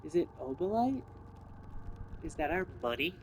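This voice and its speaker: A man's voice: fairly high pitch, big pitch swings, normal speed, a little hiss or hum. A man giving casual gameplay commentary, thinking aloud and explaining each step to viewers. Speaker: video game player and commentator.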